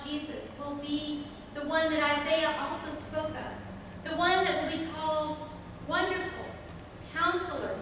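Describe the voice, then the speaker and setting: A woman playing Elizabeth, a devout and earnest expectant mother, in a deliberate, emphatic first-person church monologue. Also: high voice. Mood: awed, proclaiming. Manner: deliberate, emphatic, with long pauses. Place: church sanctuary. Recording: echoey church hall, distant mic, background noise.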